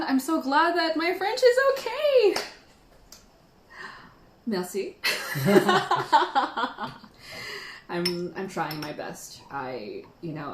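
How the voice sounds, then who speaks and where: A chatty woman from the Philippines hosts a relaxed tea livestream, laughing often as she reads out viewer comments. Her voice sits at a middle pitch. The room around her gives the sound a roomy echo. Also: a little hiss or hum. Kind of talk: casual livestream chat while tasting tea.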